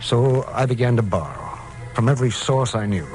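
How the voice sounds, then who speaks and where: Actor as a desperate husband, very expressive pitch. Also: male voice, music in the background, close to an everyday voice. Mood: weary, troubled. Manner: halting, emotional, earnest. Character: desperate, devoted, naive husband, anxious and hesitant. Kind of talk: 1940s-50s radio detective drama acting. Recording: noisy vintage radio recording.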